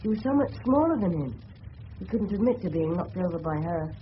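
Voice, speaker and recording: A dull old radio drama recording with faint hiss carries an actor as an English teenage boy. He is polite and earnest, speaking respectfully in a middling-pitched youthful voice. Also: animated, brisk, close to an everyday voice. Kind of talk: 1970s British radio drama acting.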